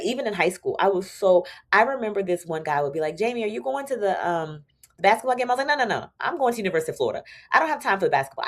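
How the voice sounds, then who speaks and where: A woman in her forties, a podcast guest, chatting casually and telling stories with lively quoted dialogue and frequent laughs. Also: fairly low pitch. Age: 40s. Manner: animated storytelling, acts out quoted dialogue; laughs often. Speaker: podcast guest, attorney turned entrepreneur. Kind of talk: casual interview chat.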